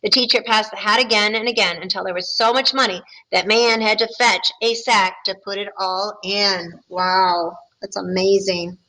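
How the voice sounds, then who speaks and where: A woman teacher reading a picture book aloud, expressive, with chatty asides to her listeners. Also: medium pitch, normal speed, few pauses, poor audio. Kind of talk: storybook read-aloud with comments.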